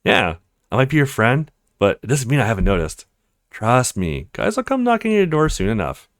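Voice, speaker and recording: A loyal, protective young man from a visual novel, acted out by a male reader, earnest and blunt as he reassures. The medium-pitched delivery moves very freely up and down, recorded dry. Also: huge pitch swings, brisk, dry recording. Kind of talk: visual novel character read-aloud.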